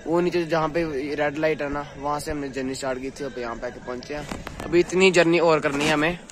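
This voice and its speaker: Hoarse young man vlogging to his phone. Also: fairly high pitch, a little fast. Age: young adult. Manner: chatty, excited, talks straight to the viewer. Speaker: travel vlogger. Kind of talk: casual vlog to camera. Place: outdoors at night on a crowded pilgrimage route, and in a busy eatery. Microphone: phone held at arm's length. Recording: crowd noise in the background.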